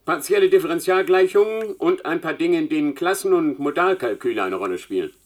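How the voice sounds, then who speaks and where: An actor playing an imperious, demanding dean of mathematics in a German science fiction radio play, with a pompous, condescending manner. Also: male voice, a little high, animated, brisk. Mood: stern, demanding.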